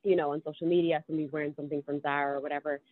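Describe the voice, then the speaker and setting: A young woman with a Dublin Irish accent talks casually about her career, pausing often with ums and restarting her sentences. Her voice sits low, and it comes through a tinny, phone-like call. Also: little pitch movement. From Ireland. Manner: hesitant, many ums and restarts. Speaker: podcast guest. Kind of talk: casual interview chat.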